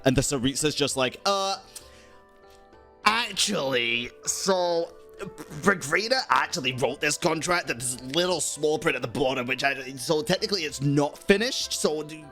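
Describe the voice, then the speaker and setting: A man's voice: on the high side, moderate pace, sharp tone. A man reacting to a video game as a streamer, casual, slangy and sweary. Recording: faint game music behind. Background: video game music.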